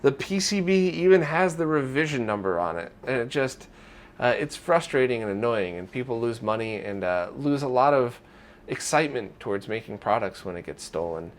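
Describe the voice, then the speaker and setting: A man's voice: mid-range voice, lively intonation, moderate pace. A man presenting an informal retro gaming news roundup in a conversational, opinionated way.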